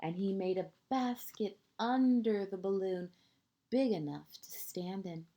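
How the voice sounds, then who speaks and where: Female kindergarten storyteller, mellow and slow, gentle, expressive read-aloud with hushed phrase endings. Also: medium pitch. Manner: deliberate pauses, short phrases, asides to young listeners. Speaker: kindergarten teacher reading a picture book.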